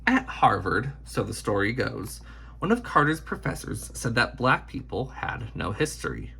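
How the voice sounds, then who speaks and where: Husky male storyteller with lively intonation. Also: mid-range voice, moderate pace. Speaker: narrator reading a children's picture book biography aloud. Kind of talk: expressive read-aloud storytelling.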